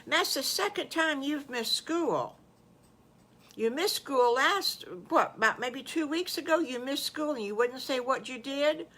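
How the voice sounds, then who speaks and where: Mother pig for a children's tale played by an older woman: bright, lifted pitch, fond, concerned, questioning, gently scolding. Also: animated, a little slow, full character voice.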